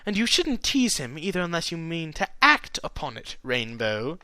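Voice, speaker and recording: A man's voice: on the high side, very animated, normal speed, pushed and bright, no room sound, voice pushed up. A man voicing a proper female unicorn pony in a story, politely chastising as she keeps the peace. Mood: chiding.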